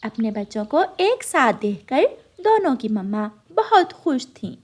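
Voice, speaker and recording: Female storyteller, high-pitched, scripted narration for a kids' cartoon tale. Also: sing-song, measured pace.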